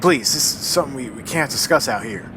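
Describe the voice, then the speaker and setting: A man playing a matter-of-fact, measured amateur sleuth in a period radio mystery. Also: expressive, close to an everyday voice. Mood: measured, deliberating. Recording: train rumble behind the voice.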